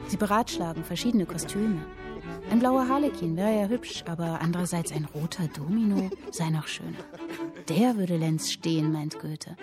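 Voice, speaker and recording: An actress playing a captive young woman writer in a radio drama, reading her literary text aloud in a measured storytelling way. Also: on the low side, over music, lightly acted. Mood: measured, intent.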